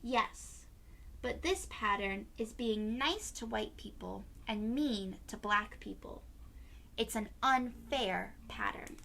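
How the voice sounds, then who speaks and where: A woman's voice: fairly high pitch, slow pace, gravelly, sharp tone, a little hiss or hum, heavily acted. A woman voicing a patient mother in a children's storybook, explaining hard things to her child in an instructive way. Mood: patient, explanatory. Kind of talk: children's storybook read-aloud.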